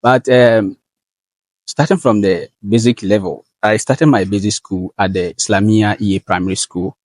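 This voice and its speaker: A young man with a Ghanaian accent gives earnest, measured answers as an interview guest, often pausing on fillers. His voice sits fairly low, and the recording is dry and close. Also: fairly even intonation, darkish tone, dry recording. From northern Ghana. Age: young adult. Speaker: guest, valedictorian student.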